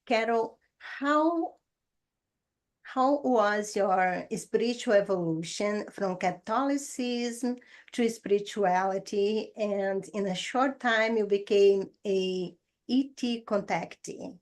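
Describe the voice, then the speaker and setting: A woman's voice: mid-range voice, takes it slowly. A woman from Brazil with a Brazilian Portuguese accent, hosting an interview on her channel.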